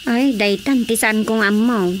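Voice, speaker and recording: A woman's voice: fairly high pitch, fairly even intonation, a little slow, slight background noise, lightly acted. An actress playing a teasing, lovestruck young village woman in a Filipino radio drama comedy, with an Ilocano accent. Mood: chatty, curious.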